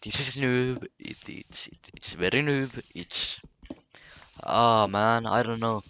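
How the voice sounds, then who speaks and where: A man's voice: medium pitch, slow, bright, forceful tone, smooth. A man from Finland with a Finnish accent and Finnish as his first language, talking casually to camera in a solo vlog, stammering and repeating words as he goes. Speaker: vlogger.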